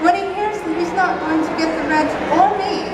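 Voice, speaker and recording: A woman playing a lovestruck young maiden heroine in a hammy stage melodrama, gushing theatrically in drawn-out phrases. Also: very high voice, steady tone. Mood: flustered. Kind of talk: hammy old-time melodrama stage performance. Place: live fair stage, recorded from the audience. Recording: roomy, distant stage recording, loud crowd noise.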